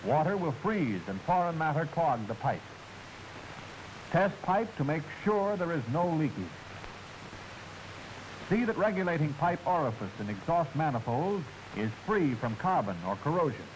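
An American man narrating a 1940s military training film in a formal, clearly enunciated, instructive manner, on an old film soundtrack. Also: fairly high pitch, very animated, moderate pace, background noise.

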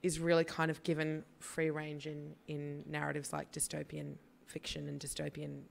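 An Australian woman with a husky voice from a cough, talking casually on a stage panel with wry asides and many fillers. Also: low voice, monotone, normal speed. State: has a cough. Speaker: panelist, writer. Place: theatre stage before an audience. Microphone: stand microphone.

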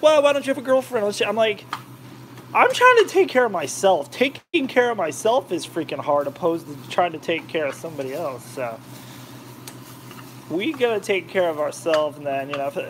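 A man's voice: high-pitched, animated, normal speed, a bit bright, slight background noise, poor audio. A man rambling and joking with his live chat while cooking in his home kitchen. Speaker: cooking vlogger. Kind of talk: casual cooking livestream chat.

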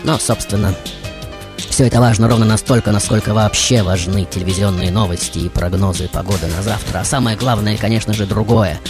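Guy acting as a passionate old-school tango composer, dramatic radio monologue w long pauses, music under it. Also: fairly low pitch, close to an everyday voice. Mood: brooding, solemn. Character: passionate, brooding male tango composer telling his own life. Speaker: radio show host.

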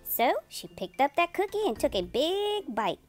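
A woman reading a picture book aloud to children as a storytelling host, with expressive, drawn-out playful emphasis. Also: high voice, faint music behind.

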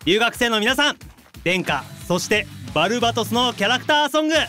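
High-pitched, animated man hosting a chat. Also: a little fast. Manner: expressive, reacts often with short backchannels. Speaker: podcast host. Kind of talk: casual chat between voice actors. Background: background music.

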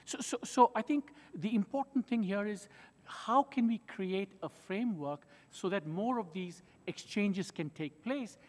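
Male witness, 40s, halting delivery with restarts, lighter pitch, long reverb. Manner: hesitant, many fillers and restarts, animated hands. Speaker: witness at a hearing. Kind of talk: testimony. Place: large wood-panelled hearing room. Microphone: desk microphone. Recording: long reverb of a large hearing room.

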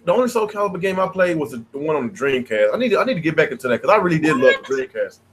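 An American man in his thirties hosting a casual gaming podcast with informal slang and animated banter. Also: a little high, racing, breathy edge. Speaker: podcast host. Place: small game room at home, indoors.

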